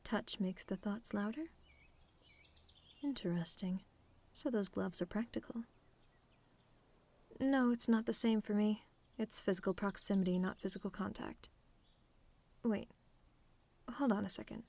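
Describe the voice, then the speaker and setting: Woman doing a telepath villainess for asmr roleplay, snarky and menacing w a soft side, draws words out, theatrical, mid pitch. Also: vocal fry. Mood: gentle, coaxing, then intent, reassuring. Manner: sardonic, theatrical, drawn-out deliberate phrasing. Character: mocking telepathic villainess, menacing but softening to tender. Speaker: audio roleplay performer.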